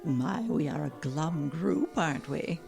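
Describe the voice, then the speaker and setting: A woman's voice: low voice, expressive, unhurried, gravelly, darkish tone, soft background music, exaggerated voice. A woman playing a prim, proper and concerned radio station colleague in an audio drama, speaking formally and earnestly. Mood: reproving.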